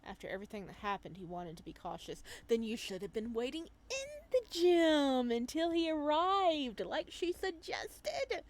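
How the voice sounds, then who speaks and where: A woman reading a visual novel aloud as a let's play host, reacting to the story in an animated way. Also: high voice, normal speed, hardly any pauses, poor audio. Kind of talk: game commentary mixed with read-aloud narration.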